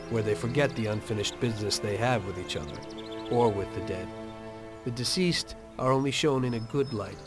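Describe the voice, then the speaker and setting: An actor playing a conscientious, streetwise American mobster in a video game, plain-spoken and wry, with a weary air. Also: male voice, lowish voice, natural voice. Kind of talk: dramatic video game dialogue and confessional narration.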